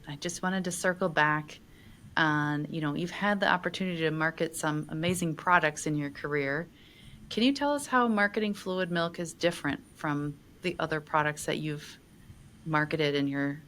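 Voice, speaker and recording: A woman hosting a podcast interview who asks measured, thoughtful questions with some fillers. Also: low-pitched, a bit bright. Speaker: podcast host, magazine editor.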